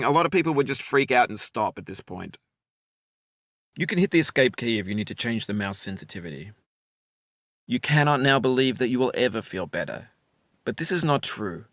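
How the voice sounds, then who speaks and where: Middle-aged man, mid-pitched, calm and wry narrator, dry close sound. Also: no echo. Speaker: game narrator. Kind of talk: reflective voice-over narration.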